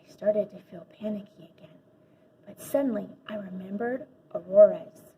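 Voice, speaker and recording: A woman reading a children's story aloud like a teacher, expressive and animated with dramatic emphasis. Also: mid-range voice, normal speed. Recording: dull lo-fi audio with faint noise.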